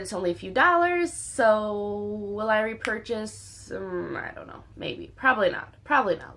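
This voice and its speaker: A young woman with a slightly raspy voice, still recovering from illness, chatting candidly about beauty products she has used up. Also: mid-range voice, a bit bright. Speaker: beauty vlogger. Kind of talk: casual product review.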